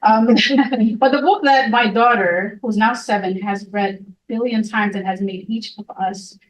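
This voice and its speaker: A woman with a fairly high voice chats with a group of educators in an amused, lively way. She is recorded from some distance across a meeting room, so she sounds set back from the mic. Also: unhurried, muffled recording. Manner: chatty and amused. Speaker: educator at a workshop. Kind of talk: introducing herself in a group.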